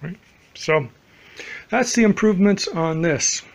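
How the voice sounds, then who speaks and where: A man's voice: sits high, expressive, unhurried, rough recording. A man giving a casual, explanatory home demonstration in an informal, step-by-step and somewhat hesitant way. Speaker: DIY audio builder presenting a project.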